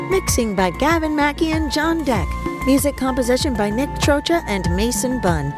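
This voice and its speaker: A woman announcer reading scripted credits for a podcast. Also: fairly high pitch. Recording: loud music under the voice. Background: loud music.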